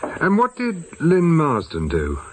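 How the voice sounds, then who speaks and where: A man's voice: on the high side, wildly swooping pitch, takes it slowly, darkish tone, heavily acted. An actor playing a polite, probing man with an English accent in a radio drama, hesitant but persuasive. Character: smooth-talking, probing man posing as crime writer. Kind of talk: naturalistic British radio drama acting.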